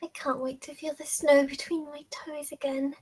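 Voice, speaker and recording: Woman acting an innocent high-voiced young captive. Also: little pitch movement, moderate pace, a little mellow, dry recording, studio quality, natural voice. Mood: wistful. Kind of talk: dramatic table read.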